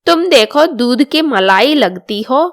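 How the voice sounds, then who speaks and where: A woman's voice: a little high, lively intonation, slow, dry recording, studio quality. A woman voicing an older, bossy and easily offended mother-in-law in a storybook drama. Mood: spiteful. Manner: scolding, complaining.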